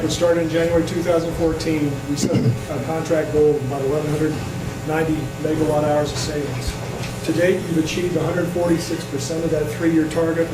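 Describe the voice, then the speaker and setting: A man giving a formal, explanatory presentation to a meeting as a program representative, talking through slides and figures in a measured way. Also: fairly high pitch. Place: echoey indoor meeting room. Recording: roomy, distant mic, noisy with hum.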